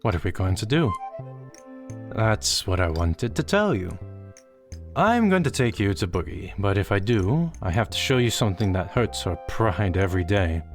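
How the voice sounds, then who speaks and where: A man voicing a small, gentle digital creature who speaks earnestly and coaxingly. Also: on the low side, very animated, normal speed, soft background music.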